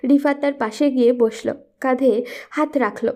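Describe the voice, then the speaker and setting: A woman narrates a scripted love story. Her voice is fairly high in pitch yet soft-edged and mellow, with little brightness. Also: fairly even intonation, normal speed.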